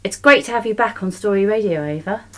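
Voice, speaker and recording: A woman's voice: medium pitch, fast. A woman hosting a book podcast, reading a scripted introduction and putting questions to a guest. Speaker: podcast host.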